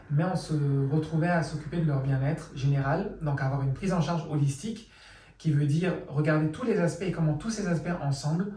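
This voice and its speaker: A middle-aged man from Mali, a psychologist answering interview questions in calm, measured explanations. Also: a little high. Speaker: psychologist guest. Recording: echoey room, from far off.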